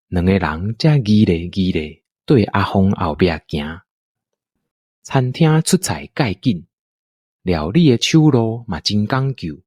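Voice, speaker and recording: A man's voice: fairly low pitch, a little mellow, slightly rough, no echo. A man with a Taiwanese accent narrating a scripted radio drama as its storyteller.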